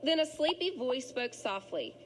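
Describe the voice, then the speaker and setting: A woman reading a children's picture book aloud like a school teacher, in an expressive, rhythmic storybook delivery. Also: high-pitched, moderate pace, background noise.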